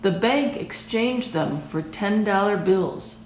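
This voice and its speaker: A woman reading a children's picture book aloud in a deliberate, expressive storytelling style. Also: medium pitch, echoey room, slight background noise, poor audio. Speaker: storybook reader.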